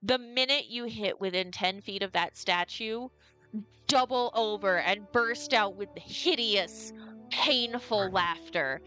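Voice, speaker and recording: A woman runs a tabletop fantasy game as dungeon master, calmly describing scenes and asking players for rolls. Her pitch sits in the middle, and background music plays clearly under her voice.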